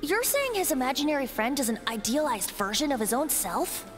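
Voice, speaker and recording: High, lively, courteous young investigator. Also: female voice. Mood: intrigued. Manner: formal, polite, brisk. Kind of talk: video game voice acting. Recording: long reverb, game music behind.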